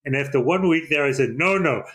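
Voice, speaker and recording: An older man hosting an interview in a measured, conversational way. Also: a little high, a bit bright. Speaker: host.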